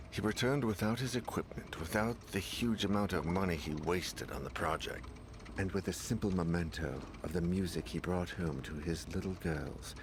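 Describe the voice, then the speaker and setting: A man playing a pensive investigator in a video game, musing aloud in a measured way as he goes over clues. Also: low-pitched, creaky, background noise. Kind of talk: scripted game dialogue, thinking aloud and reading documents.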